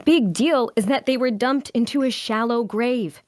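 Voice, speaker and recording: A woman's voice: fairly high pitch, moderate pace, no room sound, clean studio sound, lightly acted. A woman playing an earnest, compassionate adventurer who insists on what is right, in a fantasy drama table read. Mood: indignant. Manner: indignant, pleading.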